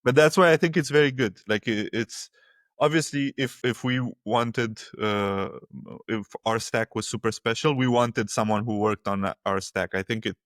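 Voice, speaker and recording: A man's voice: mid-range voice, moderate pace, a bit bright, no echo, clean studio sound. A man hosting a podcast interview, talking loosely and conversationally with many fillers. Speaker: podcast host. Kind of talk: casual interview chat.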